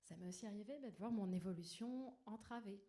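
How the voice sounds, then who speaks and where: A woman with a soft, gentle voice, speaking to an audience in a personal, lightly self-deprecating conference talk. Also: mid-range voice, fast. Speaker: conference speaker, agile coach. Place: indoor conference room. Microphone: stage microphone. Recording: noisy live microphone in a talk room.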